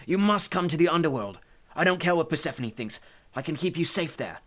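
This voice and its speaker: A man's voice: a little high, lively intonation, a little fast, fairly bright tone, pitched above the performer's own voice. A man voicing an anxious, protective, brooding god of the underworld who pleads urgently, in a storybook. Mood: agitated pleading.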